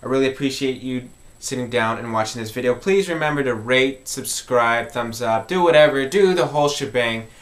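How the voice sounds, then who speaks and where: A man's voice: mid-range voice, normal speed. A man hosting an informal music tutorial, explaining step by step in a conversational way and repeating key points.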